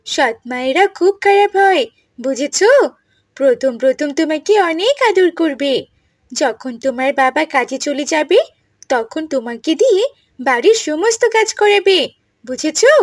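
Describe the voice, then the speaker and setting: A woman playing a meddling, scheming aunt in an animated moral story, scolding and insinuating with pointed questions. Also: high-pitched, measured pace, no room sound, clean studio sound. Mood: scolding, warning.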